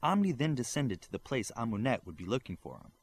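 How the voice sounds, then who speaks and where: A man reads fiction aloud as an audiobook narrator. His medium-pitched voice moves widely up and down as he delivers the scripted prose. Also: sing-song, quick pace, slightly rough. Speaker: audiobook reader.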